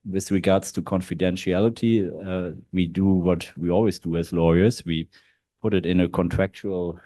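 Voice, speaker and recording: A man's voice: low voice, fairly even intonation, normal speed, warm tone, no echo. A man from Germany with a German accent, speaking as in-house counsel on a panel, hesitant with many fillers. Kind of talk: panel talk at a law event. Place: large historic hall.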